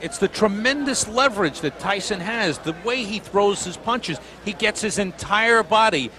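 A man's voice: high-pitched, animated, normal speed. A man giving television boxing commentary. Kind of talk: live TV fight commentary. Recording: noisy arena broadcast, loud crowd. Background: roaring arena crowd.

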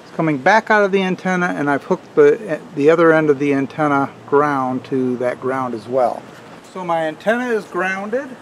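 A man's voice: a little high, normal speed, a little hiss or hum, low-quality recording. A middle-aged man explaining a hands-on project in a casual way as he demonstrates it to the camera. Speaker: host of a radio restoration channel. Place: outdoors on a wooden deck or driveway, also at a workbench.

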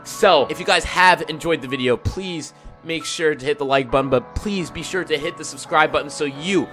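A young man playing a horror game with a headset on in a dark room, talking in slangy, excitable, jokey bursts and yelling when scared. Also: fairly high pitch, normal speed. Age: young adult. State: losing his voice. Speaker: gaming YouTuber. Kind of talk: reactive horror game commentary. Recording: game music underneath.

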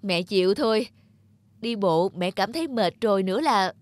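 A woman acting an anxious, strict pregnant mother in an animated moral tale, with an urgent, expressive delivery. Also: medium pitch, normal speed, heavy background noise, lightly acted. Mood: coaxing, tired.

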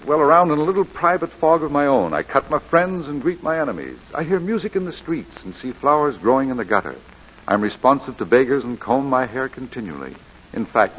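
An actor playing an urbane, lovestruck English lord with a flowery, wry manner in a 1940s radio drama. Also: male voice, mid-range voice, a bit bright, slightly rough. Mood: romantic, whimsical. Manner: flowery, wry, self-mocking. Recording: muffled vintage 1940s radio recording.

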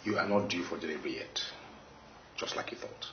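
A reassuring Nigerian doctor in a TV drama. His voice is very low and unhurried in temper. The sound is dull and echoes around the room. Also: gravelly. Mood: calm, reassuring. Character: calm, reassuring male doctor. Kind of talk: acted TV drama dialogue. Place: small indoor consulting room. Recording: muffled, roomy, slightly noisy, distant mic.

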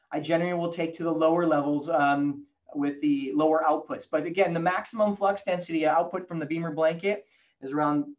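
A man's voice: fairly high pitch, highs cut off. A man in his forties giving a fluent technical explanation as a guest expert on a video call. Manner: fluent, lecturing, animated gestures. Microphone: video call webcam.

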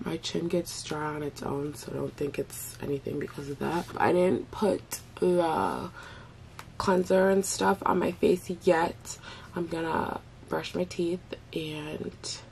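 Raspy-voiced young woman vlogging skincare. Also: fairly low pitch, slight background noise. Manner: chatty, talks while applying products. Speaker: beauty vlogger. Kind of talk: casual skincare vlog. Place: bedroom at home.

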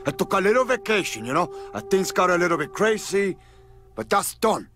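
Cuban crime boss from a game, brash n cocky, highish voice all over the place. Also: male voice, very animated, brisk, fairly bright tone, soft background music. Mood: sly, needling. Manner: cocky, swaggering, profane. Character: brash, menacing Cuban gangster boss in his prime. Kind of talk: video game cutscene acting.

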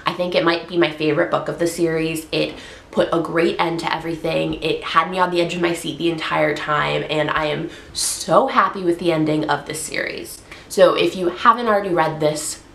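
A young woman with a low, slightly gravelly voice, congested from a cold, gushes about books on her vlog. She chats casually with plenty of fillers. The room around her sounds echoey. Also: steady tone, normal speed, a little hiss or hum. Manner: chatty, upbeat, frequent fillers. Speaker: book vlogger. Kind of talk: casual book review vlog. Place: bedroom, indoors.

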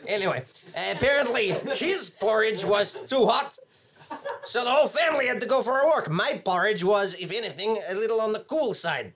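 A man's voice: sits high, animated, normal speed, heavy background noise. A man playing a pompous, protective talking bear in a theatrical live comedy audio drama. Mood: wry. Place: small theatre stage before an audience. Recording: live stage microphone, audience laughter behind. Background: audience laughter.

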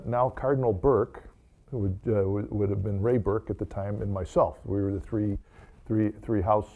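Older American man, low gravelly voice. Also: dark tone. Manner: halting, with repeated words. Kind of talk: informal remarks and blessing at Mass. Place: small chapel, indoors.

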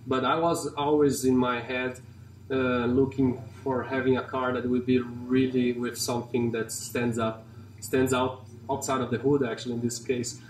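A man's voice: mid-range voice, level tone, a little hiss or hum. Brazilian-accented man in an echoey garage. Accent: Brazilian Portuguese. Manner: halting, many restarts and fillers, enthusiastic. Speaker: company CEO and car collector. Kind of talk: casual walkaround interview. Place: large garage showroom, indoors. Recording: roomy and distant in a garage.

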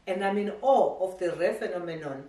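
A middle-aged woman delivers a formal, ceremonial graduation speech in a measured way, with small stumbles as she reads. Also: lowish voice, warm tone. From South Africa. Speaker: academy head giving a graduation speech. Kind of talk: formal prepared speech read aloud. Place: living room at home. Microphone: camera across the room. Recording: echoey room, voice from a distance.

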